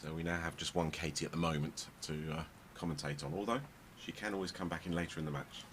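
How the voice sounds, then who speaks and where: A man with a very deep voice and a Belgian accent, calling a pool match for a live stream. He talks steadily with a dry, wry humour. There is a steady hiss of noise and some venue ambience under the voice. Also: fast talker. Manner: switches between English and French, wry. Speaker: pool commentator. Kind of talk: live sports commentary. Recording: noisy live stream commentary.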